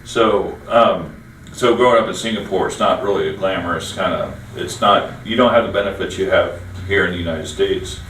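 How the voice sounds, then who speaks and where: Host: man, low voice, friendly and rambling, roomy far-off sound. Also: moderate pace, a bit bright, a little hiss or hum. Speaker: interview host. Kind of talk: local business interview show.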